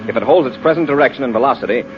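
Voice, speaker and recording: A commanding space patrol officer, played by a male actor, talking fast in clipped, authoritative phrases. It is classic 1950s radio serial acting on a muffled recording with clear background noise. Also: mid-range voice, lightly acted. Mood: brisk, serious. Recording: dull, noisy vintage radio recording.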